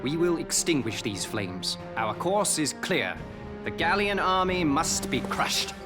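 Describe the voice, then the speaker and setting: An actor playing a composed, imperious noble army commander in a game cutscene, speaking formally and with measured authority. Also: male voice, fairly high pitch, very animated, over loud music. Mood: imperious, resolute. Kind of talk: dramatic game cutscene acting.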